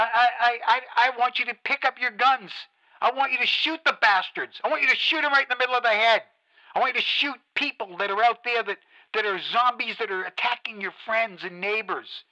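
A frantic male radio DJ rants and pleads to his listeners in a high voice. The tone is sharp and very bright. It sounds like a radio drama. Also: full character voice, poor audio. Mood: urgent. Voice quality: ranting, pleading. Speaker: radio host.

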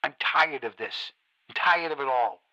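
A man's voice: medium pitch, measured pace, pushed and bright, dull audio. An actor playing a male radio DJ who rants and pleads on air during a zombie outbreak. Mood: despairing. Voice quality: ranting, pleading. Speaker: radio host. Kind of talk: radio drama acting.

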